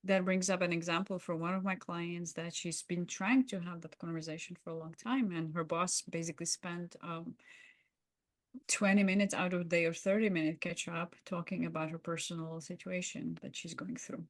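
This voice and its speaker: A woman who co-hosts as a coach, talking conversationally with hesitant, pausing phrasing. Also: on the low side, flat delivery, no echo. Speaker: podcast co-host and coach with clients.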